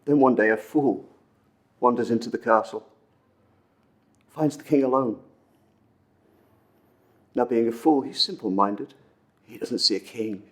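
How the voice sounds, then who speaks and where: A man acting a dramatic monologue as an earnest, wistful storyteller recounting an old legend, with intense pauses and swells. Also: medium pitch, lightly acted. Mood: tender, rising wonder. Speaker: amateur actor performing a film monologue.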